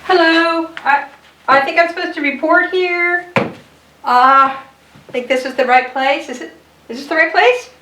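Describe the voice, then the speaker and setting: A woman's voice: extremely high pitch, a little slow, pauses often, pushed and bright, slight background noise, full character voice. A woman voicing a timid, humble, worn-out little blue crayon with hesitant, polite delivery in a children's storybook read-aloud. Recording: roomy echo, distant mic.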